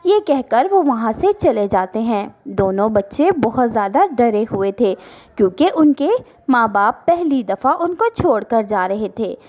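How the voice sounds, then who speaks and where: A woman's voice: a little high, big pitch swings, measured pace, a little mellow. A woman narrating a scripted cartoon story for children as the storyteller.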